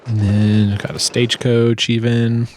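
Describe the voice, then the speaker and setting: A man's voice: medium pitch, level tone, normal speed, darkish tone, a little hiss or hum. A man giving a casual, explanatory walkthrough as a sound designer presenting his work.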